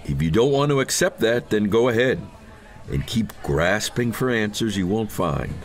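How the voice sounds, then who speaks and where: A man's voice: lowish voice, lively intonation, normal speed, close to an everyday voice. A man playing a defensive, uneasy ghost hunter in an audio horror drama, earnest and grave, easily indignant. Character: defensive, solemn, uneasy male ghost hunter with thinning hair. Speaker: character actor in an audio horror story.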